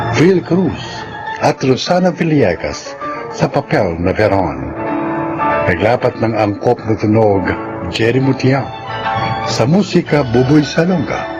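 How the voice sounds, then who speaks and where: Male announcer for radio drama, unhurried and solemn, lively intonation over prominent music. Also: mid-range voice, poor audio. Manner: dramatic, measured. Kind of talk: solemn scripted narration.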